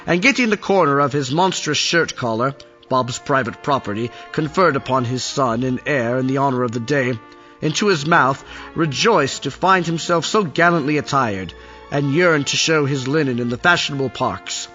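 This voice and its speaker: A man's voice: medium pitch, moderate pace, sharp tone, close to an everyday voice. A man reading scripted storybook narration for an audio play, on a dull recording with music behind.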